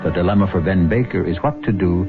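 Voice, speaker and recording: A man hosting a radio drama, narrating a script in a measured, philosophical, theatrical way. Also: sits low, music in the background. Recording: dull old radio recording.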